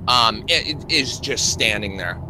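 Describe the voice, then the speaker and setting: A man narrating a tabletop roleplaying game as game master, in a casual, halting, improvised way. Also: mid-range voice, expressive, pushed and bright. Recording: clearly noisy live stream.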